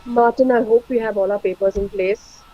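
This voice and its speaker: A woman's voice: a little high, fairly even intonation, lo-fi sound, close to an everyday voice. A woman acting in a stage play as an anxious migrant mother who frets about her papers and keeps track of her child. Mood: nervous. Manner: anxious, hurried. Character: nervous female migrant mother in a queue.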